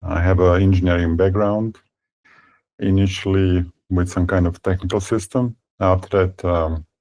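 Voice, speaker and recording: A man in his fifties from Serbia, speaking as a guest in an interview. He talks haltingly, with many fillers and repeated words. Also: low-pitched, fairly even intonation, warm tone. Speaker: tech company founder, guest. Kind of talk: conversational interview.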